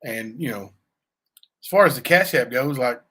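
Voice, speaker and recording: A man with a raspy voice, chatting loosely on a livestream and answering viewers, full of fillers and asides. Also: medium pitch, expressive, moderate pace, sharp tone. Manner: rambling, many fillers and asides to chat. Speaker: livestream cohost; youth basketball coach. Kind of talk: casual livestream chat.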